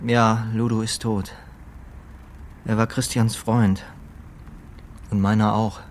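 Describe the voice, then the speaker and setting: An actor playing a solemn, haunted, stubborn religious zealot in a German radio drama, with a husky voice and halting, emphatic phrasing. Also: male voice, lowish voice, little pitch movement, slight background noise. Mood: subdued, guarded.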